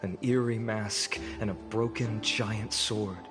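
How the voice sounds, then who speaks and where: A man voicing an earnest, good-natured young hero in scripted video game dialogue, gentle and sincere. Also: fairly low pitch, fairly even intonation, takes it slowly. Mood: haunted. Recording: music underneath.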